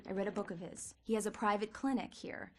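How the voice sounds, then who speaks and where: An actress playing a writer's supportive wife in a video game, affectionate and coaxing in manner. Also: female voice, mid-range voice, fairly even intonation, quick pace, natural voice. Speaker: protagonist's wife. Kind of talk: cinematic game acting.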